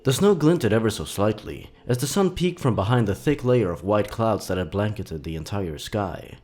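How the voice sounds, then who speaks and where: A man reading scripted horror fiction as a dramatic narrator, with measured, deliberate phrasing. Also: lowish voice, lively intonation, darkish tone.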